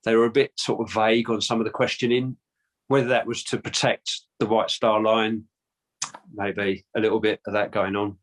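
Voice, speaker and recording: A man's voice: lowish voice, little pitch movement, normal speed, rough recording. A man with a London accent answering interview questions casually, hesitant with many restarts and fillers. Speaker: guest, descendant of a Titanic crewman.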